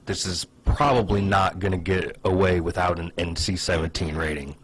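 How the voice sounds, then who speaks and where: A man's voice: sits low, steady tone, moderate pace, a little hiss or hum. A man giving casual comedic commentary in a conversational way, with hesitant asides and plenty of "like". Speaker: online video host.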